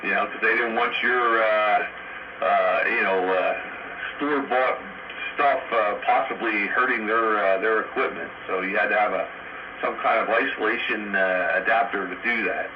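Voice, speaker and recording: An older man explaining technical things casually and haltingly over a two-way radio. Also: fairly low pitch. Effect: radio transmission. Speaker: amateur radio operator in a group chat. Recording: dull noisy two-way radio transmission.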